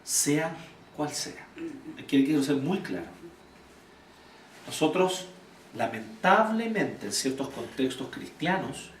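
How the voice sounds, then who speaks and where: Lively high-voiced Chilean man teaching. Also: takes it slowly, long pauses, slight background noise. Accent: Chilean Spanish. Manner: animated, questions the audience, blunt. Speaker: church speaker teaching volunteers. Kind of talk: informal church teaching talk with audience questions. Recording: echoey room, voice from far off.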